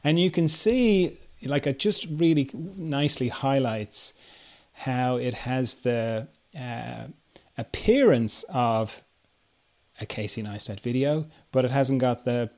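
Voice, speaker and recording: A man hosting a podcast in casual conversation, rambling and halting as he thinks aloud. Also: medium pitch, a little mellow. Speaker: podcast host. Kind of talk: casual conversation, reading listener questions.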